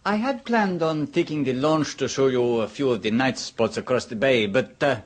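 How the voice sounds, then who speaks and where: A man's voice: mid-range voice, normal speed, slight background noise, close to an everyday voice. A man playing a suave, mocking host. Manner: smooth, teasing, theatrical with chuckles. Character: Luigi, suave, mocking, worldly male host. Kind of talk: 1970s radio drama acting. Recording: old radio broadcast.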